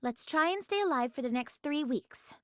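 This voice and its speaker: A woman's voice: high-pitched, expressive, normal speed, a bit bright, no echo. A woman voicing a composed, practical young squad leader in an anime-style game, polite and matter-of-fact.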